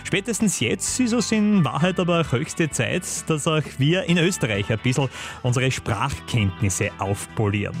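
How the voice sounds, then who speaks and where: A man with an Austrian accent reads a scripted news item at an average pitch for a man. He keeps it conversational, throwing in light asides to his listeners, with faint music underneath. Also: animated, normal speed. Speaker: news anchor.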